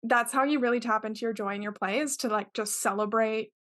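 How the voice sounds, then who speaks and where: A woman's voice: a little high, brisk, fairly bright tone. A woman talking in a casual interview, earnest and rambling, with lots of filler words. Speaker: podcast guest.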